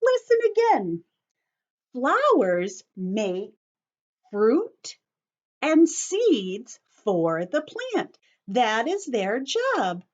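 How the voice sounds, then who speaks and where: Slow, swooping high-voiced woman teacher reading aloud. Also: dull audio. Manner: expressive, simple teacherly phrasing with questions to children. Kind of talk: read-aloud lesson for children with explanations.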